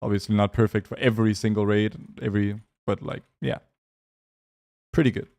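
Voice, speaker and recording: A man's voice: on the low side, fairly even intonation, fairly quick, creaky, a little mellow. A young man from Denmark with a light Danish accent, chatting loosely as a game streamer and reading out names from his chat. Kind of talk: casual chat with live chat.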